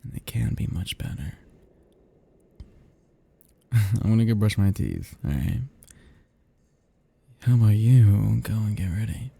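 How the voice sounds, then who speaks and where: A man's voice: lowish voice, measured pace, creaky, long pauses, mellow, a little hiss or hum. A man playing a seductive, possessive vampire in an intimate ASMR roleplay, teasing and menacing, with archaic turns of phrase. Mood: teasing, coaxing.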